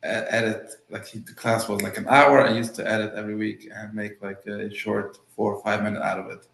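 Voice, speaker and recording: A man, a real estate agent guest, speaking in blunt, short emphatic phrases with halting English. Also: lowish voice, level tone, creaky, fairly bright tone, echoey room. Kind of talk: casual interview chat.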